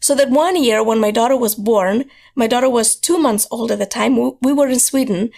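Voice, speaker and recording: Hoarse woman. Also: fairly high pitch, brisk, warm tone. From Moldova. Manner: earnest, driven storytelling, repeats words for emphasis. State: hoarse, losing her voice. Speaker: podcast guest. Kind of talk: telling her life story in a casual interview.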